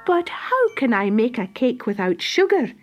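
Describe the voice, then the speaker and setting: A woman's voice: a little high, big pitch swings, moderate pace, soft background music, pitched above the performer's own voice. A woman voicing a clever young woman baker who fusses and schemes. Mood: coaxing. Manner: bright, fussing, sly.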